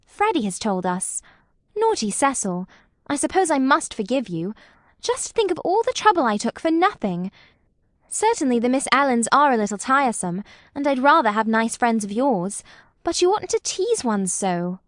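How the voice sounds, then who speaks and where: A woman's voice: a little high, very animated, moderate pace, dry recording, clean studio sound. A woman reading an audiobook, voicing a proper young woman who speaks in a clipped, reproachful way. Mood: coaxing. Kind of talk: audiobook character voice.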